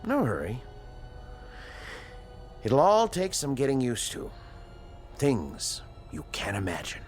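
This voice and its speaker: An actor playing an educated, earnest scientist in a radio drama, American, measured and questioning. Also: male voice, medium pitch, huge pitch swings, faint music behind. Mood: reassuring. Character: earnest scientist, educated, patient, morally probing male doctor.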